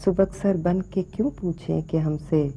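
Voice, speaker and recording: An actress playing a courtly middle-aged courtesan in a screen drama, deferential and slyly flattering in ornate, elaborately polite speech. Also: female voice, lowish voice, measured pace, husky. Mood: coaxing deference. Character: courtly, flattering, sly courtesan mother quoting poetry. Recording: dull, lo-fi television film sound.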